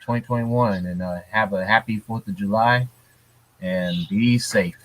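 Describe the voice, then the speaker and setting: A man co-hosting a casual gaming podcast from home, talking in a relaxed, rambling way with lots of fillers. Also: on the low side, unhurried. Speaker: podcast co-host. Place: hurricane-prone US city.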